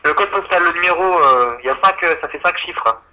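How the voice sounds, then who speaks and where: A man's voice: fairly high pitch, fairly quick, sharp tone. A man working as an insurance phone agent, patiently and politely explaining things over a tinny telephone line. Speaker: insurance call-centre agent. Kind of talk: customer service phone call.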